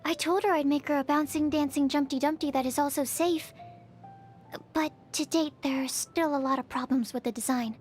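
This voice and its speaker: A woman's voice: high-pitched, fairly even intonation, moderate pace, a little mellow, natural voice. A woman plays a shy young female alchemist from a video game. She is timid and earnest, picking precise, bookish words with a nervous hesitance. Music plays behind her. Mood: shy, mildly anxious. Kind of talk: video game character voice lines.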